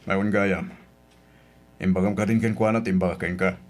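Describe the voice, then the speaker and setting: A man's voice: deep voice, steady tone, moderate pace, natural voice. A man acting a protective middle-aged father in a radio drama, speaking in a cautious, admonishing, paternal way.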